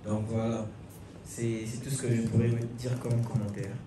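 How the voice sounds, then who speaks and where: A man with a Congolese accent speaking as a panel guest in measured, halting remarks. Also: fairly low pitch, level tone, noisy background. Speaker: panel speaker, manga artist. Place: echoey room at a public event. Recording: echoey hall, distant mic, music behind.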